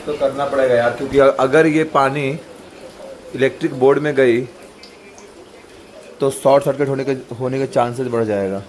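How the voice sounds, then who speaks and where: A man's voice: medium pitch, steady tone, moderate pace, pauses often, low-quality recording. Man coaching informally over background noise. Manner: explanatory, with everyday examples and check-in questions. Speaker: voice practice coach. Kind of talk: informal instructional talk with demonstrations.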